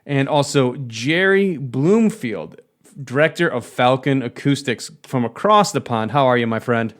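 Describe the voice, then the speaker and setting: A man's voice: mid-range voice, lively intonation, unhurried, fairly bright tone. An American man hosting a podcast interview in a casual, chatty, discursive way. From United States. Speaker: podcast host.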